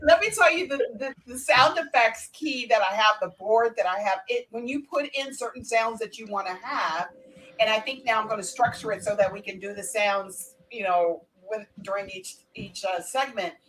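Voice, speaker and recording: A woman's voice: a little high, moderate pace, fairly bright tone. Chatty woman hosting over a noisy line. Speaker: radio show host. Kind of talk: casual panel show chat.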